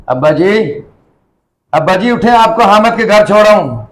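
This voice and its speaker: Man playing a callous son, high-pitched voice. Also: lively intonation, faint music behind, low-quality recording. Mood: scornful. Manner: curt, unfeeling, scolding. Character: callous, irritable grown son begrudging his old father. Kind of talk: Urdu moral audio drama acting.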